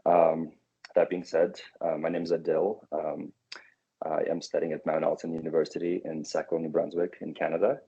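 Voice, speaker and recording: A man's voice: extremely low pitch, little pitch movement, fairly quick, vocal fry, dark tone, slightly rough. A young man from Kazakhstan in his twenties, a recent graduate speaking casually on a video call with many fillers, on muffled call audio. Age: 20s. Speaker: guest speaker. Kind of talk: casual panel talk.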